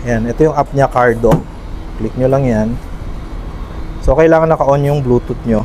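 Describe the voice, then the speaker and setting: A Filipino man with a mid-pitched voice presents a product in a casual vlog style. He talks directly to viewers as he demonstrates it. Noticeable ambient noise from outdoors and traffic sits behind him. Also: moderate pace. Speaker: vlogger, product reviewer. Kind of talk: casual unboxing and demo talk to viewers.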